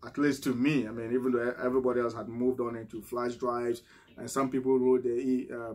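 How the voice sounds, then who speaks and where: A man's voice: mid-range voice, darkish tone, slightly rough. A middle-aged man from Ghana with a Ghanaian accent, talking casually to camera as a vlogger, often repeating words and tagging "right?" onto his sentences. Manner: conversational, frequent restarts and "right?" tag questions. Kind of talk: casual advice monologue.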